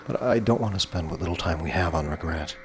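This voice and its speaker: A man's voice: low voice, fast talker, slight background noise, voice pushed down, lightly acted. A man playing a weary, lovesick scientist-soldier in a retro science fiction audio drama, subdued and anguished. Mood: aching, resigned. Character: weary, lovesick male scientist-soldier pining for his lover.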